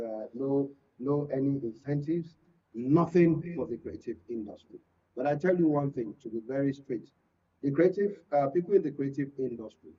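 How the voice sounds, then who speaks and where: A man with a Nigerian accent speaking as a guest from the creative industry in a political panel discussion, deliberate and emphatic, often repeating phrases. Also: mid-range voice, a little hiss or hum. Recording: muffled, distant-mic, lo-fi studio pickup.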